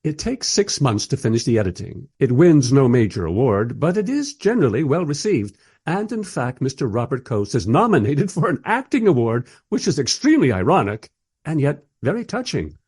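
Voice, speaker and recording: A man plays a modern filmmaker recounting events in a radio drama. His voice is middle-pitched and slightly rough, with animated intonation that suits his wry, observant storytelling. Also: normal speed, dry recording, studio quality. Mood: reflective. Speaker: narrator. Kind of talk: scripted radio play narration.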